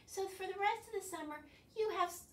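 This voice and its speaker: High-pitched older woman leading storytime. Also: fast, slight background noise. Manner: animated, instructing, with frequent questions to children. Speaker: children's librarian leading storytime. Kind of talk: children's storytime, addressing young viewers. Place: small living room, indoors. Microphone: far camera. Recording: roomy, distant camera microphone.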